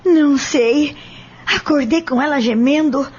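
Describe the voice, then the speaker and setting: Woman acting an anxious, high-voiced Brazilian mother. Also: unhurried, a little mellow, dull audio. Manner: urgent, pleading. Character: anxious wife and mother, distressed over her child. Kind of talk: audio drama acting in Portuguese.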